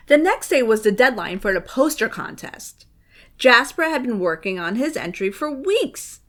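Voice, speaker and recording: A woman reading a spooky children's story aloud, expressive and suspenseful, with dramatic hushed moments. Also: a little high. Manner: expressive, suspenseful, often dropping to a dramatic whisper. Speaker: children's book reader.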